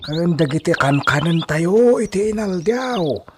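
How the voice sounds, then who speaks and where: A man's voice: a little high, lively intonation, unhurried. A man with an Ilocano Filipino accent playing a humble, spry old farmhand in a comedy radio drama, drawling and exclaiming in a folksy way. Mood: chatty, advising. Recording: noisy track with bird chirps.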